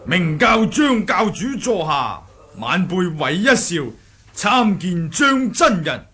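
An actor playing a brash, mocking kung fu fighter in a Cantonese radio drama, husky and theatrical. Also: male voice, sits high, sing-song, slow, sharp tone, exaggerated voice. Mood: respectful. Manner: theatrical, taunting, with cackling flourishes. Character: brash mocking martial-arts fighter of the Ming sect.

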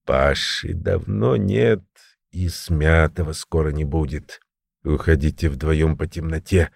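A man voicing a weary, ailing crippled criminal veteran in an audiobook, creaky and short of breath, talking slowly in prison slang. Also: very deep voice, darkish tone, no echo, studio quality, voice pushed down, exaggerated voice. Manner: slow, labored prison-slang speech.

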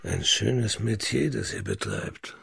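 Gravelly, gruff soldier. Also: male voice, lowish voice, huge pitch swings, slow, a bit bright, faint music behind, full character voice. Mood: sceptical. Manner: blunt, grumbling. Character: gruff, hot-blooded male soldier, coarse and sceptical. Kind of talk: German radio play acting.

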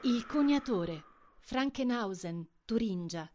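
A woman's voice: a little high, expressive, takes it slowly, mellow, slight background noise. A woman performing in a scripted radio drama. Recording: music behind the voice.

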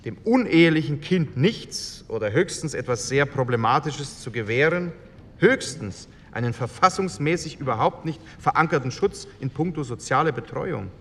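An actor playing an indignant communist member of a postwar assembly, declaiming a biting speech. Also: male voice, expressive, moderate pace, a bit bright. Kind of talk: re-enacted parliamentary speech. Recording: hall reverb, low rumble, faint noise.

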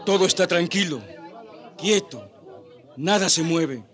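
Wary rebel sentry, high voice, uneasy, radio drama with crowd noise. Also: male voice, lively intonation, unhurried, fairly bright tone.

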